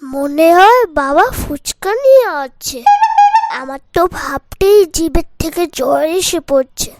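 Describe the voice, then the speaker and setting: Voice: high voice, animated, takes it slowly, heavy background noise, heavily acted. A performer voicing a sly, lazy little cartoon schoolboy with a whiny, dramatic delivery. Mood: gleeful. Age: child figure. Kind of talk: comic cartoon voice acting.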